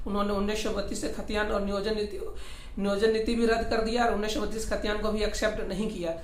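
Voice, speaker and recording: A man gives informal political news commentary, explaining in a rambling, repetitive way. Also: high voice. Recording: roomy echo, faint background noise.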